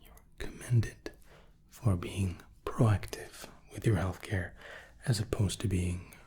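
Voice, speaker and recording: Slow, low whispering man in ASMR roleplay. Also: steady tone, slightly rough. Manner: gentle, reassuring, unhurried. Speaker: ASMR roleplay host playing an examining doctor.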